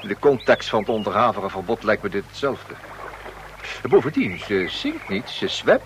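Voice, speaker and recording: Fussy, verbose gentleman, swooping intonation. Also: fairly low pitch, very animated, moderate pace. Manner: polite, pedantic, wordy. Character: fussy, verbose gentleman bystander, a reserve officer. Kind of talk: comic radio play acting. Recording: noisy outdoor scene in radio play.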